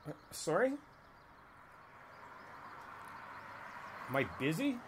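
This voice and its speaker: Man playing a friendly older neighbor, lively intonation. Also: fairly high pitch, quick pace, noisy background. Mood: curious, welcoming. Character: friendly older male neighbor chatting on his porch, easygoing and thoughtful. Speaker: voice actor in an audio roleplay. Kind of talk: ASMR roleplay, one side of a casual conversation. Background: outdoor ambience with birds.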